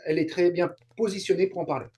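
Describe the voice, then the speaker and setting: A man's voice: high-pitched, steady tone, fast talker, warm tone. A man speaking as an interview guest, explaining things in casual chat and repeating words for emphasis. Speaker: interview guest, translator and YouTuber.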